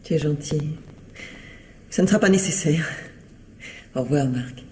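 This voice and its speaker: Soft-toned, anxious radio-drama ex-wife. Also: female voice, low-pitched, unhurried, a little hiss or hum. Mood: polite, restrained. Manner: hesitant, nervous, polite. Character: anxious, evasive remarried ex-wife of a detective. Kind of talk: 1950s-style French radio crime drama acting.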